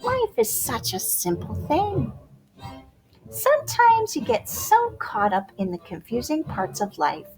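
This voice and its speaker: Lady doing kids story time, highish voice, unhurried, really playful and expressive, music behind her. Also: poor audio. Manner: playful, expressive, drawn-out words and dramatic emphasis. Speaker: storyteller reading a children's picture book aloud. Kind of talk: animated rhyming storybook read-aloud for young children.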